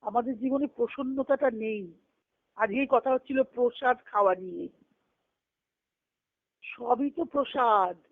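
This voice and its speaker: Over a thin, phone-like connection with very little room sound, a woman gives a devotional discourse. She speaks slowly and reflectively, explaining scripture as a spiritual teacher and answering her own questions. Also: on the high side, dry recording. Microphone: phone or conference call. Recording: narrow, tinny phone conference line.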